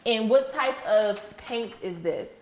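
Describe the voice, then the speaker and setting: A woman with a fairly high voice talks to small children as their teacher during a read-aloud. She is encouraging and instructive, using short, repeated questions and praise. The room is clearly echoey, with faint noise behind her. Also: takes it slowly, a little mellow. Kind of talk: interactive classroom read-aloud to young children. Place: indoor classroom with young children.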